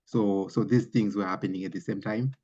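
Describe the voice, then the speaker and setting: A man whose first language is Shona speaks in a low voice, reflecting and thinking aloud in a panel conversation. The recording sounds dull and lo-fi. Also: steady tone, a little fast, dark tone, breathy edge.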